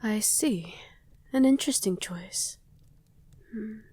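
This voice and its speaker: A woman playing a tender, doting, teasing vampire wife in an intimate ASMR roleplay, speaking softly and breathily, often in a whisper. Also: mid-range voice, takes it slowly, husky, dry recording. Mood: playful, seductive.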